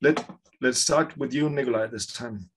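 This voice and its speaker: A man's voice: mid-range voice, normal speed, a little hiss or hum. A man moderating an online debate, politely passing questions to the panelists. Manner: polite, guiding the discussion.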